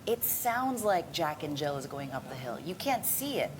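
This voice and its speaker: Woman voice artist, low-pitched, enthusiastic explainer, noisy room. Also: big pitch swings, normal speed. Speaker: voice artist guest. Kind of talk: interview answers. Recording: noisy studio recording.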